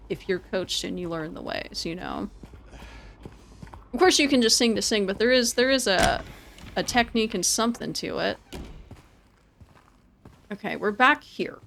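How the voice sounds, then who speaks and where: A woman's voice: mid-range voice, creaky, a bit bright, slight background noise. A woman giving casual live game commentary and chatting with viewers, informal and prone to repeating her words and phrases. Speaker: game streamer.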